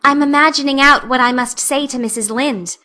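Imploring young orphan girl, high and bright, breathless and theatrical, put on by a female reader. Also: fairly quick. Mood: pleading.

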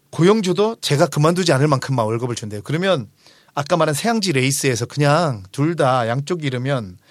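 A man's voice: a little high, no room sound, clean studio sound. A man in his forties hosting a book discussion, explaining ideas conversationally and emphatically. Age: 40s. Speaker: host of a book discussion show; restaurant owner.

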